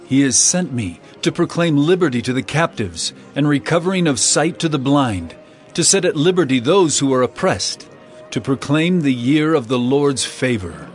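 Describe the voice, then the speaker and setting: A man playing a solemn, authoritative preacher with measured, earnest, declamatory speech in a dramatized scripture reading. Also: medium pitch, expressive, soft background music, close to an everyday voice. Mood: proclaiming.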